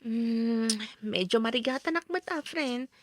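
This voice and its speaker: Meek young radio-drama wife, acted by a woman, soft and apologetic, slightly high voice, no room sound. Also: a little slow, no echo, natural voice. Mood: tired, wistful. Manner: soft-spoken, apologetic, hesitant. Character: meek, dutiful eighteen-year-old orphaned young wife.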